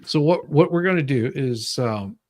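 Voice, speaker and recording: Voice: medium pitch, lively intonation, moderate pace, a little mellow. A show host who reads out a deposition transcript dramatically, mixing it with casual banter and easily breaking into giggles. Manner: giggly, easily cracks up between read lines.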